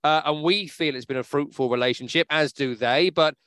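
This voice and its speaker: A man's voice: fairly high pitch, a little fast, fairly bright tone, clear, resonant tone, no echo, clean studio sound. A man hosting a football podcast, talking in a chatty, upbeat way with many fillers. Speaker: podcast host. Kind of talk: casual podcast chat. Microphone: remote podcast mic.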